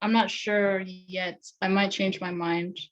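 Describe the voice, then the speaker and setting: Female student, mid-pitched, casual short answers, muffled lo-fi audio with faint noise. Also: little pitch movement, slow. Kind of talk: casual brief replies. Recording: dull lo-fi call audio, light noise.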